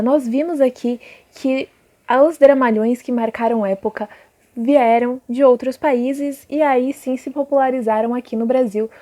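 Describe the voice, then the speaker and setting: A woman's voice: sits high, warm tone. A Brazilian woman teaching an informal recorded lesson, addressing pupils directly and reading aloud. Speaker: schoolteacher.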